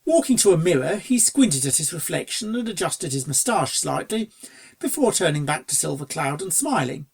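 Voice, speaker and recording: A storyteller reads scripted fiction in a mid-pitched voice. There is a noticeable creak running through the narration. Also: sing-song, normal speed.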